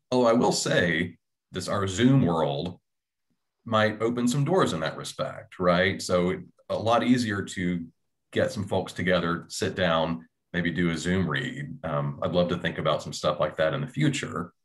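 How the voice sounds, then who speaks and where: A man's voice: low voice, normal speed. A man talking casually and thoughtfully as a panelist on a video call. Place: small indoor room at home.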